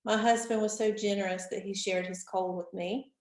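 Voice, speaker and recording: A woman, stuffy from a cold, leading an online training session for teachers in a clear, organized, instructive way. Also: mid-range voice, fairly even intonation, moderate pace, a little mellow. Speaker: instructional technology facilitator. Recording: roomy echo.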